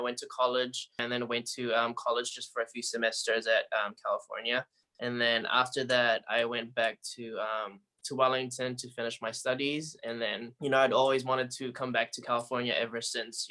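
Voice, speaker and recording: A man's voice: mid-range voice, steady tone, normal speed, pushed and bright, poor audio. A man from the Philippines, a podcast guest talking in an easygoing, rambling way over a remote call. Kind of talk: casual interview chat. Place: home in quarantine, remote.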